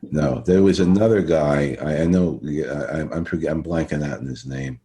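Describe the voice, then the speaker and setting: Very deep-voiced man interviewing, halting. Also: warm tone. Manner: halting, repeats himself, rambling. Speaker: podcast interviewer. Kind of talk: casual conversational interview.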